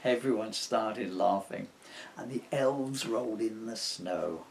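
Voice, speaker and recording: A man reading his own rhyming children's book aloud as an expressive storyteller. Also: on the low side, slow, creaky, slight background noise.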